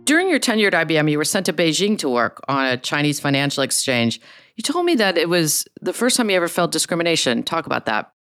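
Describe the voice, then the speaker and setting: Smooth-voiced woman interviewer. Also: low-pitched, very animated, fast, fairly bright tone, soft background music. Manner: friendly, chatty, shares own experiences. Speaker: interview host. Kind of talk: conversational interviewing.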